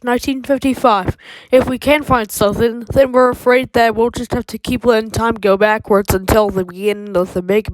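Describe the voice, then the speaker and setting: A woman's voice: fairly high pitch, dry recording, low-quality recording. A woman reading scripted emergency broadcast announcements in a formal, official manner.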